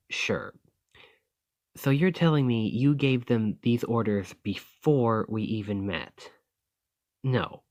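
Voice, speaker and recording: A roguish male bandit leader acted by a man talks in an unhurried, folksy way, with pitch that swings freely. He is teasing and grudgingly impressed, speaking one side of an ASMR roleplay conversation. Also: dry recording. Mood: baffled, disbelieving. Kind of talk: ASMR roleplay acting, one-sided dialogue.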